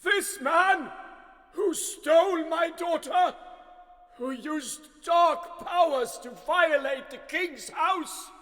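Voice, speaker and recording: Male actor as a stern king, high shouted voice, commanding, long hall reverb, fairytale drama. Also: slow, heavily acted. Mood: stern condemnation. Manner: commanding, declamatory. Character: stern, wrathful king passing judgement. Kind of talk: dramatic fairytale audio acting.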